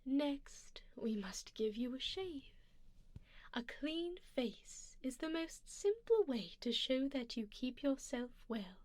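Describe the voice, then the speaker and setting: Vampire noblewoman , played by a woman, ASMR roleplay: higher-pitched, breathy and whispering, very slow, formal and coaxing, doting with quiet menace. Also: dry recording, full character voice. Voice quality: soft, breathy, often dropping into whisper. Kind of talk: ASMR roleplay, soft personal-attention scene.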